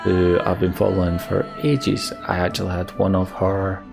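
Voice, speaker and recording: A man giving casual, reflective game commentary as a let's play host, halting and wistful, often sighing. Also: deep voice, little pitch movement, gravelly. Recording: music behind the voice. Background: game soundtrack music.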